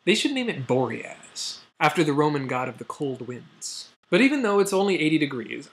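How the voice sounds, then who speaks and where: A young man with an American accent and a somewhat high-pitched voice hosts a science explainer. His delivery is semi-scripted but conversational. He often breaks off into playful asides and mock exclamations before returning to the explanation. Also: a bit bright. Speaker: host of a science news video show.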